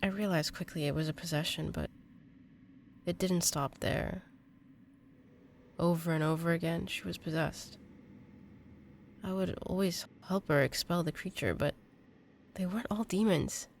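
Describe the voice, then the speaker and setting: Young female exorcist played by a woman, slightly low, earnest and halting, audio drama, some noise. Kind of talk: intimate audio drama acting.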